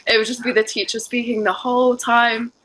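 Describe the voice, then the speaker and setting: A woman with an English accent acts as a speaking-test examiner. Her voice is bright and clear, and she is friendly and quick to laugh. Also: on the high side, little pitch movement, a little hiss or hum. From England.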